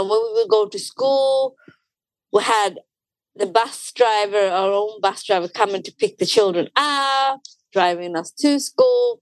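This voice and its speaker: Chatty Swedish woman with a lively, restart-prone way of talking. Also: medium pitch, no room sound, poor audio. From Sweden. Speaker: guest. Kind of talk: casual conversational interview.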